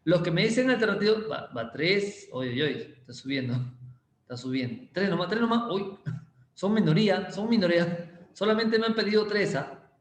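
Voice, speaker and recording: A male chemistry teacher, giving a casual, playful class and teasing students as he slowly repeats quiz questions. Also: high-pitched. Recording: roomy echo.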